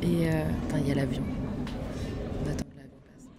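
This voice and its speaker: Soft-spoken young woman, lowish voice. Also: fairly even intonation, a little fast, very noisy. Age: 20s. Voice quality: soft, low-volume voice. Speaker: guest in a chat video. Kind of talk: soft-spoken casual chat.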